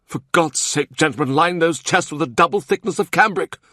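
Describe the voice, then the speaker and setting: An anxious court usher pleads in a high, strained voice that rises sharply above the narrator's own, voiced by a man. He is fretful and dismayed. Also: fairly quick, bright, forceful tone, no room sound, clean studio sound. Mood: distressed.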